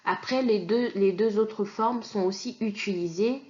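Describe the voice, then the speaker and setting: A woman's voice: mid-range voice, a little slow, warm tone, dull audio. A woman from Kinshasa teaching an online language lesson, explaining patiently with many fillers and restarts. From Kinshasa, DR Congo.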